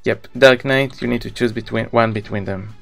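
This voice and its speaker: A man's voice: mid-range voice, steady tone, moderate pace, vocal fry, soft background music. A man in his thirties with an Israeli accent, a native Hebrew speaker, chatting casually with viewers as he live-streams a video game.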